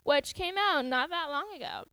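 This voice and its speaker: A woman's voice: high voice, animated, normal speed, bright, forceful tone, no room sound. A twenty-year-old woman co-hosting a podcast, chatting casually in a bubbly, giggly way with lots of "like.". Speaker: podcast co-host. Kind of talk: casual chat.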